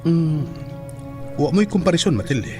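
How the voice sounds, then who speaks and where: A man's voice: fairly high pitch, lively intonation, unhurried, a little mellow, faint music behind. An actor playing an awkward, polite and loyal young male student in a horror audio drama. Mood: uneasy. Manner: hesitant, apologetic.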